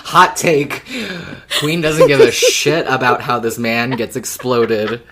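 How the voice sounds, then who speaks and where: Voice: medium pitch, takes it slowly, very noisy. A podcast co-host chatting casually about a story, with a playful, teasing and wry way of talking. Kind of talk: casual fan podcast discussion.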